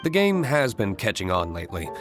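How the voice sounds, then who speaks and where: An actor playing a stoic, courteous young gentleman in a video game, with a measured, reserved and formal delivery. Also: on the low side, very animated, soft background music. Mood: composed. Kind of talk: English video game voice acting.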